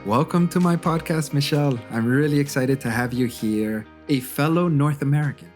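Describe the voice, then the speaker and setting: A man's voice: a little high, moderate pace, a little mellow, faint music behind. A Canadian man hosting a podcast and chatting enthusiastically with his guest, often tagging his remarks with "right?". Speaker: podcast host, English teacher. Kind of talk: casual interview chat.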